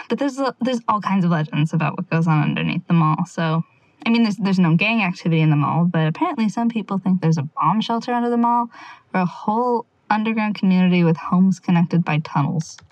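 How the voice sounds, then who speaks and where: A woman playing a wry young amateur investigator in an audio drama, quietly talking through her notes in a rambling, sardonic, confiding way. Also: on the low side, fairly quick, no room sound, lo-fi sound, lightly acted. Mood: wry, musing. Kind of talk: audio drama monologue, casual confiding log.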